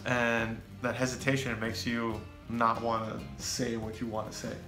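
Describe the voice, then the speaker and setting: A young American man chatting casually as co-host, relaxed and rambling with fillers. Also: mid-range voice, moderate pace. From United States. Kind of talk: casual chat. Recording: echoey room with faint music.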